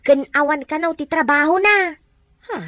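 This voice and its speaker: An actress playing a nosy, excitable woman who gossips and needles in an Ilocano radio comedy drama. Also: high voice, moderate pace, no room sound, clean studio sound. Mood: pointed, provoking.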